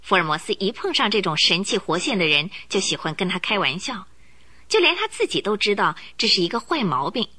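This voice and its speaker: A woman narrating a radio drama with expressive storytelling. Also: mid-range voice, a little fast, breathy edge. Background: music.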